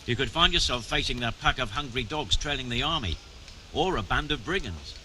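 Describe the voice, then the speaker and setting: An actor playing a stern, middle-aged medieval mining chief in a video game, brusque and commanding, with formal wording. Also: male voice, mid-range voice, expressive, fairly quick, noisy background, natural voice. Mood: firm, warning. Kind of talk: scripted video game dialogue. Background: music.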